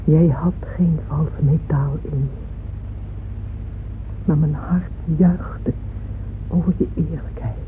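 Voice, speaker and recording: A woman's voice: deep voice, steady tone, slow, pauses often, a little hiss or hum. An actress playing an ageing, devoted and wistful wealthy mother in a radio play, speaking emotively and intimately. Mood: moved, reverent. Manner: emotive, intimate, with hushed asides. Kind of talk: dramatic radio play monologue. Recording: muffled phone-like old radio recording, music behind.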